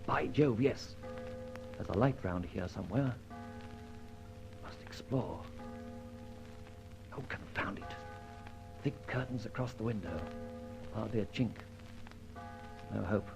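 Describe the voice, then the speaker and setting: An actor playing a jaunty, cheeky gentleman rogue with theatrical delivery in an old-time radio serial. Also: fairly low pitch, slow, creaky, pauses often, music in the background, low-quality recording. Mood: stealthy muttering. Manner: theatrical, breezy, muttering asides to himself.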